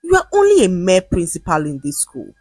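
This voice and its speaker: A woman's voice: on the low side, huge pitch swings, a little fast, breathy edge, no echo, studio quality, faint music behind, exaggerated voice. A woman storyteller voicing a rich, indulgent mother who is haughty, angry and cutting. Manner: haughty, sharp, cutting, dismissive. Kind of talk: dramatised quotes in narrated folktale storytelling.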